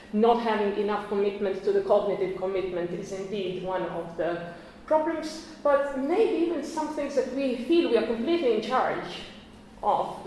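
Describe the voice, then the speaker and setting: A woman's voice: mid-range voice, darkish tone, a little hiss or hum. Woman lecturing in a very echoey hall. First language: Croatian. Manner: chatty, self-correcting, with frequent restarts and small laughs. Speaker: conference lecturer. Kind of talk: academic conference talk.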